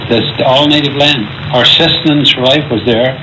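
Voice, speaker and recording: A man's voice: mid-range voice, fairly even intonation, normal speed. A man from eastern Canada giving plain-spoken, informal oral history. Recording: clear background noise.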